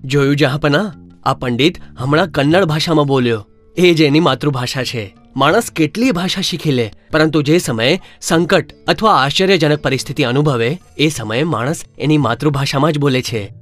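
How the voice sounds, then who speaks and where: A man's voice: fairly high pitch, normal speed, a bit bright, faint music behind, natural voice. A man voicing Birbal, a clever, confident male courtier who explains things plainly in a cartoon story.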